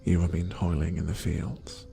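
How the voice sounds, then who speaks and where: A man playing a gentle fantasy wolf farmer in a soft-spoken ASMR roleplay, speaking in a slightly formal, caring way with whispers. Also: deep voice, little pitch movement, normal speed, creaky, soft background music. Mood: caring, reassuring.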